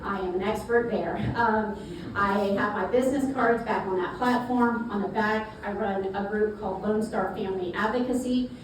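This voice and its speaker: A woman's voice: medium pitch, normal speed. A middle-aged woman with a South Carolina accent, speaking as a guest at a networking event in a folksy, chatty way. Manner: folksy, chatty, repeats words. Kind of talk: networking talk to a live audience. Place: event space. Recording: echoey room from far off, noisy.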